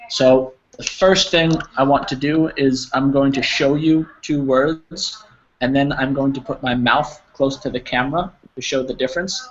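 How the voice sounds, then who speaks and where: An American man teaching an online English pronunciation class, using simple wording and checking with his learners. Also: medium pitch. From United States, New Jersey. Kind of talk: live online group pronunciation lesson. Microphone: video call, close to computer. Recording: dull lo-fi online call, clear noise.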